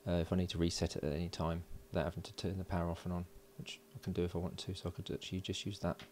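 Guy with a really low voice, thinking out loud as he builds stuff, bit of background noise. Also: flat delivery. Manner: unhurried, thinking aloud while working. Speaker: host of an electronics build video. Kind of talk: casual hands-on tutorial narration. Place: indoors at a workbench.

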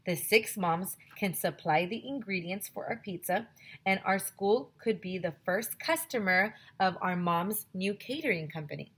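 A woman in her thirties, a teacher on a headset mic, reading a chapter book aloud to children with lively, expressive storytelling. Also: medium pitch, a little slow, slightly rough. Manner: expressive storytelling, addresses kids directly. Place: small home room with classroom decorations.

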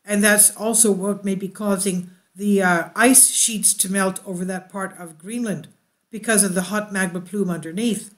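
A woman reads a science news story aloud in a steady voice. Now and then she drifts into unscripted asides, stumbling over fillers and correcting herself before she returns to the text. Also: medium pitch, moderate pace. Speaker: YouTube news host.

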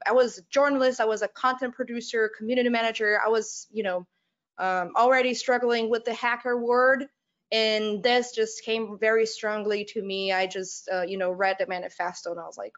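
A woman's voice: medium pitch, fairly even intonation, highs cut off. A young woman from Brazil with a Brazilian Portuguese accent gives a casual conference talk over a video call, with lots of fillers, restarts and laughs. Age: young adult. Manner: halting, many fillers and restarts, laughs often. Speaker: conference speaker. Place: home room, on video call.

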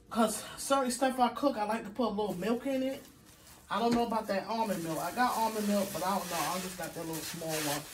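A middle-aged woman talks her viewers through a grocery haul in a home kitchen, chatty and loose, with frequent "okay?" asides. A phone camera sits a few feet off on the counter, so her voice sounds distant. Kitchen noise and sizzling come through clearly behind her. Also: mid-range voice. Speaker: vlogger. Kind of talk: casual grocery haul vlog.

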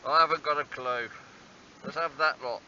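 A man's voice: a little high, fairly even intonation, moderate pace, bright, forceful tone. Wry man muttering on a windy shore. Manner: wry, self-deprecating muttering. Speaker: angler vlogger. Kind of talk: casual self-talk while fishing. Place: outdoors on a windy stony harbour shore. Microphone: small handheld action camera. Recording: dull lo-fi camera audio with faint wind.

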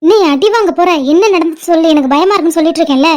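A woman's voice: extremely high pitch, racing. A woman playing a caring elder sister who speaks with a Tamil accent, anxious and comforting. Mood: worried. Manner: worried, comforting and scolding. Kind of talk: short-film drama acting.

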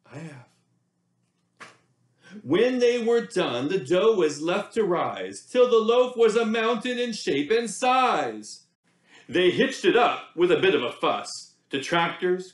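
Animated American man reading to children. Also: sits high, roomy sound. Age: middle-aged. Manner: animated, theatrical, addresses young listeners directly. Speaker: children's read-aloud presenter. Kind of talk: expressive picture-book read-aloud with chatty asides. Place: small indoor room.

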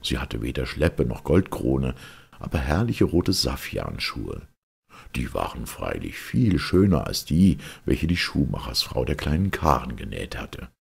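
A man narrating a fairy tale as an expressive storyteller, with a gravelly, husky voice and dramatic emphasis. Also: rumbling low, measured pace. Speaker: audiobook narrator.

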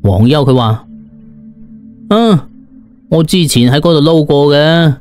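A male storyteller with a slightly high voice. He narrates conversationally and expressively, acting out the tale as he goes, over faint background music. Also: lively intonation, slow, a little mellow. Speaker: audiobook narrator. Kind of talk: dramatised first-person story narration in colloquial Cantonese.

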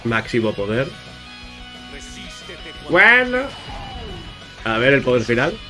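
A man commentates a video game in a casual, chatty way, addressing his viewers as he plays. His voice sits at an average pitch, with playful exclamations thrown in. Music plays clearly behind him. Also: big pitch swings, slow pace. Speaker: gaming YouTuber. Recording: music under the voice.

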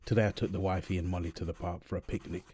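A man's voice: low-pitched, steady tone, rapid-fire, mellow, slightly rough. A man playing a doting young husband and new father, tender and playful, in an ASMR boyfriend roleplay. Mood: tender, reflective.

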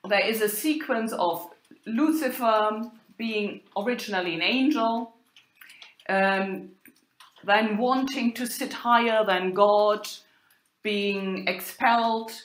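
A woman university lecturer talking over slides, hesitant, with many fillers and pauses. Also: medium pitch. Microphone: recorded voice-over for slides. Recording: roomy echo.

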